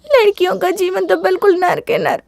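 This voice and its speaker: Actor doing a very high, creaky, whining college girl. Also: a little fast, darkish tone, dry recording. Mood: tearful, overwhelmed. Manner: whining, complaining. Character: distressed, self-pitying young female college student.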